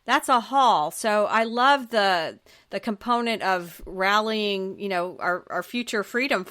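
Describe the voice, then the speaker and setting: A woman with an American accent hosts a casual live interview. Her voice is bright and forward, sitting at a medium pitch, and she talks in a chatty, conversational way. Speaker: show host.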